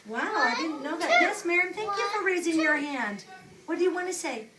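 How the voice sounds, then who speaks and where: A woman preschool teacher reading aloud to young children, using simple instructive phrasing, repeating key words and pausing for questions and asides. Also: very high voice, lively intonation. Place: indoor classroom with young children. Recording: echoey room, distant mic, noisy background.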